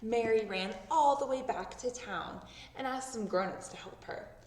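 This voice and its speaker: A woman reading a children's story aloud, with expressive narration that acts out the words. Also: a little high, normal speed, a little hiss or hum. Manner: expressive, acting out sound words. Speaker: storybook reader. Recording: roomy echo, distant microphone.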